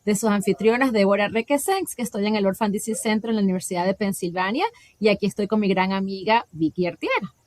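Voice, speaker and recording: A woman's voice: medium pitch, fast, a little mellow, no room sound. A woman co-hosting a podcast in a casual, chatty way, often stumbling over repeated words. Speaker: co-host.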